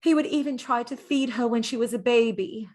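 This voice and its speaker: An actress playing a gentle, wistful dead mistress of a painter, reminiscing in a measured theatrical monologue. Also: female voice, on the high side, lightly acted. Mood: fond.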